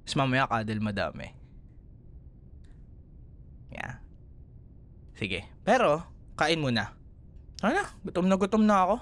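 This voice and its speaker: Unhurried, affectionate young Filipino boyfriend played by a man. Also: on the high side, very animated, a little hiss or hum. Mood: tender reassuring. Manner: tender, playful, soothing, softly murmured. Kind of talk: intimate ASMR boyfriend roleplay.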